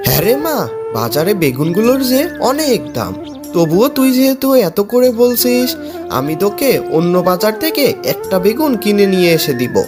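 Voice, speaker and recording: A woman's voice: medium pitch, sing-song, normal speed, music in the background, lightly acted. A woman voicing a doting animal father who coaxes and haggles in a children's cartoon. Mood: indulgent.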